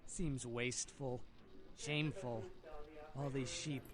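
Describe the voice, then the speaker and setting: An actor playing a creepy, fawning blood bank clerk in a video game, insinuating and preachy, obsessed with the powerful. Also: male voice, medium pitch, fairly even intonation, slow, natural voice. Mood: scornful, insinuating. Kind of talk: video game character acting.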